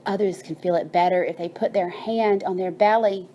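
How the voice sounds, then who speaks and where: A woman narrating a bedtime story and guided meditation in a soothing, measured manner with drawn-out phrases. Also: fairly low pitch, steady tone, warm tone, a little hiss or hum.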